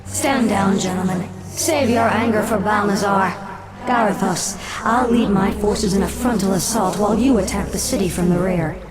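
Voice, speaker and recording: A woman's voice: medium pitch, normal speed, lightly acted. A woman playing a cold, ruthless undead queen in a fantasy game, commanding and imperious. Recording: loud music under the voice.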